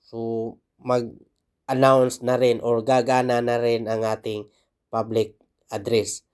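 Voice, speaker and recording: Slow, halting Filipino man explaining. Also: medium pitch, level tone, a little mellow, smooth. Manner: halting, pausing mid-sentence. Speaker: technical instructor. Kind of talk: informal tutorial explanation.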